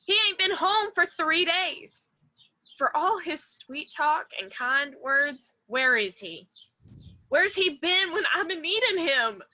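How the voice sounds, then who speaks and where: A woman's voice: very high-pitched, expressive, takes it slowly, pushed and bright, muffled recording, heavily acted. A woman playing a proud, heartsick young woman in a radio drama. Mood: hurt, defiant.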